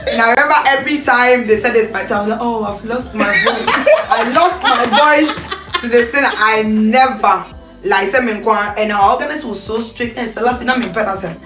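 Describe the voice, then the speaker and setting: Woman, Ghanaian accent, lively laughing storyteller, echoey room, music behind. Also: on the high side, a little fast. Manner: lively storyteller, laughing, acting out quoted voices. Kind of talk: casual reunion chat, reminiscing. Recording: roomy sound, mic at a distance, music behind.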